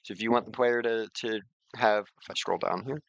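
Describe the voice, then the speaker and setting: Guy doing a casual software walkthrough, lowish bright voice, chatty and emphatic. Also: fairly quick, vocal fry, no echo, highs cut off. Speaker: software tutorial presenter. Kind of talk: informal screen-share tutorial.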